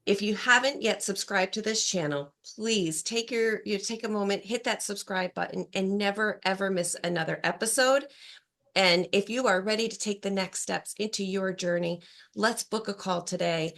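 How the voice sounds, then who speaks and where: An upbeat woman podcast host with a mid-pitched voice and a slight hoarseness from a cold. She speaks with emphatic encouragement, reading a scripted intro before easing into friendly interview chat. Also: moderate pace, a bit bright. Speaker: podcast host and empowerment coach.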